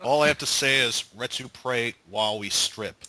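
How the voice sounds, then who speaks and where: A man's voice: medium pitch, normal speed, sharp tone, no room sound, poor audio. A young man bantering casually with his gaming group, slipping into mock-dramatic quotes. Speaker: member of an online gaming video group.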